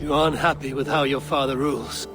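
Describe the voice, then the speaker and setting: An actor playing Eivor, a calm and blunt adult Viking warrior from Norway in a video game. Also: male voice, mid-range voice, brisk, over music, natural voice. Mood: steady, blunt. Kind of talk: video game dramatic dialogue.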